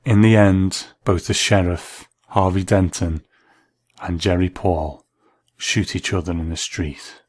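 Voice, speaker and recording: A man giving scripted commentary as a reviewer, in a measured, analytical manner. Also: low-pitched, little pitch movement, a little mellow. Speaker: narrator reviewing classic television drama.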